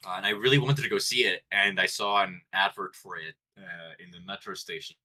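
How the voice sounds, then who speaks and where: Colombian-accented man, deep voice with a bright edge, relaxed and rambly. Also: brisk. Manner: relaxed, rambling, self-interrupting. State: tired and chewing gum. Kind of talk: casual chat.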